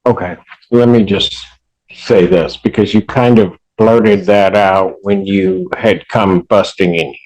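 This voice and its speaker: A man's voice: lowish voice, expressive, measured pace, creaky, a little mellow, low-quality recording. An American man speaking as a judge in a courtroom, stern and admonishing, explaining his decisions at length. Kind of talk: courtroom address from the bench.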